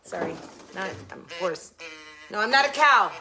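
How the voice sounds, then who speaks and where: Slightly hoarse, chatty woman crafter. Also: medium pitch, moderate pace, noisy background. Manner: chatty, excitable, jokes with a pet. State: a little hoarse. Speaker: craft channel host. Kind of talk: casual craft vlog chat.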